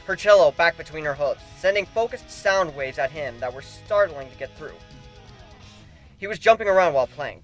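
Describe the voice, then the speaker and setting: A man narrates a fantasy tale in an amateur dramatic reading. His voice sits high for a man and moves with some liveliness. Music plays softly behind him. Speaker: amateur fan fiction reader. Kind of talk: dramatic first-person story narration. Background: background music.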